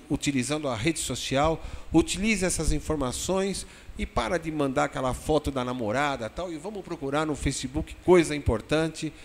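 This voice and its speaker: A man hosts an interview in a wordy, effusive style, with formal courtesies and halting phrasing. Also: fairly high pitch. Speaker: host of a web TV programme. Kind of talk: conversational interview hosting. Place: glass studio inside a shopping mall. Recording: long reverb of a hall-like room.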